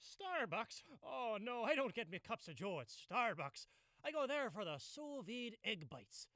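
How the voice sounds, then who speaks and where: Someone acting a rambly old irish farmer, bright lively voice, folksy radio play storyteller. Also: medium pitch, a little slow, no room sound, exaggerated voice. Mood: jovial, chatty.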